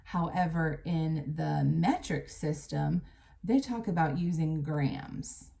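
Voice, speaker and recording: An American woman with a slightly low voice reads aloud as a teacher. She explains things plainly and speaks directly to young pupils, moving between the text and her own asides. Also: slow pace, gravelly, dark tone.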